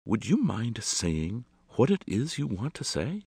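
Storybook society hostess, acted by a man, high-pitched, theatrical, imperious, impatient, gushing, very dry close sound. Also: huge pitch swings, measured pace, gravelly, fairly bright tone, husky, no room sound, heavily acted. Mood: indignant, alarmed.

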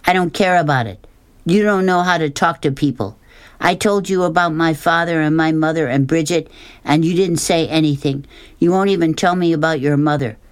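A man voicing a bold, stubborn young girl who argues insistently and speaks in a raised, brisk voice. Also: a little high, smooth, voice pushed up, lightly acted. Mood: defiant.

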